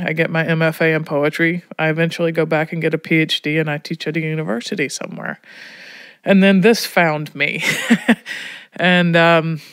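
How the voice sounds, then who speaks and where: A woman's voice: deep voice, smooth, no echo, studio quality. A woman from Alabama telling reflective stories in an interview, emphatic and often checking with "right?". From Alabama, United States. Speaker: prison education program director.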